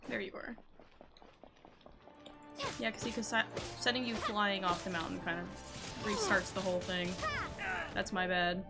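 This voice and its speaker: A woman's voice: medium pitch, steady tone, moderate pace, long pauses. A woman livestreamer giving casual gameplay commentary, offhand and talking to herself. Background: game music.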